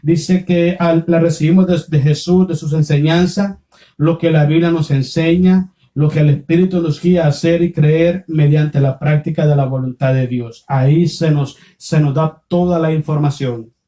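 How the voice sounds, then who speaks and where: Nicaraguan pastor, mellow higher-pitched male voice. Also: fairly even intonation, normal speed. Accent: Nicaraguan Spanish. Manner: didactic, repeats phrases, asks rhetorical questions. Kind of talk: sermon.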